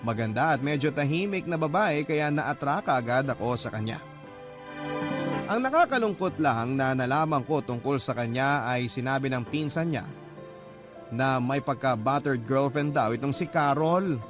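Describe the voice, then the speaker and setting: A man's voice: mid-range voice, animated, normal speed, over music, natural voice. An actor with a Filipino accent playing a serious twenty-nine-year-old office worker, narrating his own story in a radio drama. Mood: reflective, sincere. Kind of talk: scripted first-person radio drama narration.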